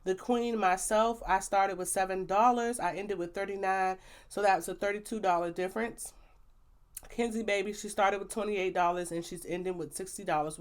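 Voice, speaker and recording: A young woman with a loud, lively voice talks through her monthly savings numbers into a plugged-in mic. She sounds upbeat and chatty, tossing in slangy asides between figures. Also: mid-range voice. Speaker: budgeting vlogger. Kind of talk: casual budgeting update. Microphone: plug-in microphone.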